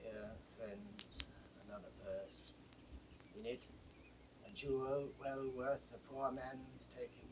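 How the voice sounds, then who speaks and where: An older man playing a blinded old nobleman, grave and despairing, in solemn, measured classical Shakespearean stage acting. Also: medium pitch, flat delivery, lightly acted. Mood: weary, grave. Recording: distant, noisy 1960s studio drama recording.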